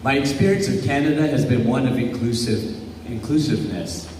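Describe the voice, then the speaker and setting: A man's voice: medium pitch, darkish tone, a little hiss or hum. Earnest man from Guyana, distant echo. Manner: personal, measured and earnest. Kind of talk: public debate answer. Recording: echoey hall from far off.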